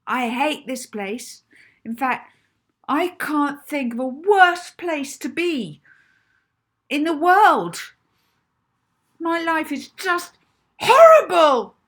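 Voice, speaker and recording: A woman's voice: high voice, sing-song, extremely slow, pauses often, a bit bright, pitched above the performer's own voice, full character voice. A woman voicing a hurt, snappy, defiant schoolgirl in a children's audiobook, heated and accusing. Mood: yelling, despairing. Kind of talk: acted children's audiobook dialogue.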